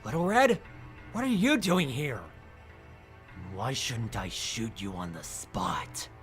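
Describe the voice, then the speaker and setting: A man's voice: mid-range voice, lively intonation, slow, fairly bright tone, faint music behind. A man voicing a smug, sarcastic thief in a fan audio drama, with a sly mocking drawl. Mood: evasive.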